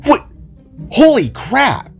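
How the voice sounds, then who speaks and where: A man's voice: very high-pitched, wildly swooping pitch, slow, vocal fry, breathy edge, faint music behind, pitched above the performer's own voice, exaggerated voice. A man voicing a bubbly, easily flustered teenage girl guitarist who stammers and exclaims, in an audio drama. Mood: shocked.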